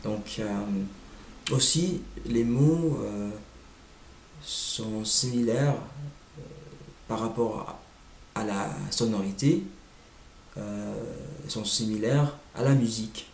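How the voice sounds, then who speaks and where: American man, native English speaker, unhurried and hesitant, slightly low voice, roomy sound. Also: darkish tone, slight background noise. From United States. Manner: hesitant, searching for words with many "euh" fillers. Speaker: language learner sharing his experience. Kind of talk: casual monologue.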